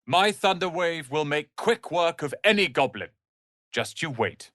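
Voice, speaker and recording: A man's voice: on the high side, expressive, measured pace, pushed and bright, dry recording. A proud young wizard's apprentice for a fantasy game. He speaks in a pompous, cutting, theatrical way, with very close, dry studio sound. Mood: haughty. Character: haughty, ambitious young male wizard's apprentice, proud and sardonic. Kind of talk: dramatic video game voice acting.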